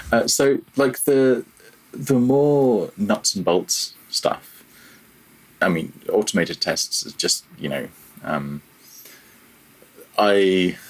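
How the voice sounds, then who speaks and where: Deep male voice, husky edge, audio programmer guest, casual interview, self-correcting. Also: poor audio. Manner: thinking aloud, self-correcting, hesitant mid-phrase.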